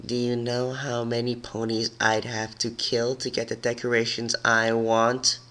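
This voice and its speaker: A performer voicing a grumpy, menacing female cartoon pony, curt and irritated, sometimes flatly bored. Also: medium pitch, measured pace. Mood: menacing deadpan. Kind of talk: cartoon character voice in fan fiction reading.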